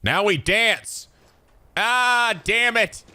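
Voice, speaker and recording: A man's voice: sits high, animated, very slow, sharp tone, a little hiss or hum. A man giving casual, playful reaction commentary to game cutscenes, joking and swearing freely. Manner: profane, jokey, playful.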